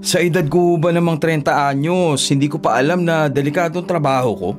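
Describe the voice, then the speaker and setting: Man acting distrustful grown son, radio drama, higher-pitched, grumbling, emphatic, faint music behind. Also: brisk, natural voice. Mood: indignant. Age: 30s. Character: distrustful, indignant thirty-year-old son, a bank guard.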